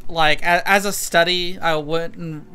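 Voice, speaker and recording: A man's voice: fairly high pitch, fairly even intonation, a little slow, bright, forceful tone, clean tone, faint music behind. A man chatting casually as he streams a game, informal and rambling, with loose swearing.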